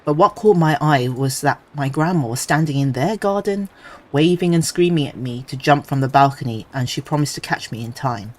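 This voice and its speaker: A male streamer reading creepy stories aloud, with relaxed, chatty asides between them. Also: a little high, moderate pace.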